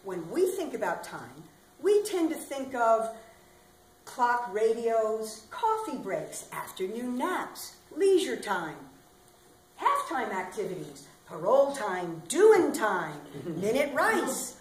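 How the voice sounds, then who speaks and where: Woman doing a loud kooky bag lady, high voice that swoops all over, echoey theater sound. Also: big pitch swings, takes it slowly. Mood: rambling. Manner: brash, teasing, rambling, talks straight to listeners. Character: eccentric loud middle-aged bag lady waiting for aliens. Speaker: stage actor. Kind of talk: theatrical comic monologue. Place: small theater stage. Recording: echoey hall, faint ambient noise.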